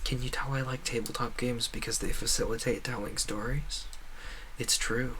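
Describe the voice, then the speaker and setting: A man's voice: medium pitch, steady tone, moderate pace, bright, forceful tone, a little hiss or hum. A man giving casual gameplay commentary as a streamer, thinking aloud in a wordy, meandering way. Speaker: game streamer.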